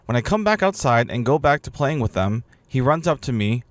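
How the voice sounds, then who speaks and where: A man in his thirties co-hosting a podcast in a relaxed, playful way, often laughing and reading listener posts aloud. His middle-pitched voice swings up and down with animated energy. The sound is dry and close, with no room around it. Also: brisk, no room sound. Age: 30s. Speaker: podcast co-host. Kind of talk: casual chat.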